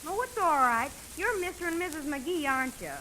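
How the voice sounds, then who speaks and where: A woman's voice: sits high, lively intonation, a little fast, bright, forceful tone, rough recording. A woman playing a sweet, shy, eager young neighbor girl in a 1940s radio comedy. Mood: friendly, shy. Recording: hissy 1940s radio broadcast.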